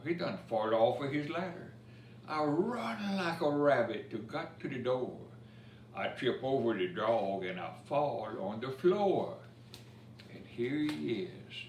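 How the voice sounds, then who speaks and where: A man reading a children's picture book of Cajun dialect verse aloud, telling it expressively with asides about the pictures. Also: mid-range voice. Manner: expressive storytelling, pausing to point out pictures. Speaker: story time reader. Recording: roomy, distant mic with faint noise.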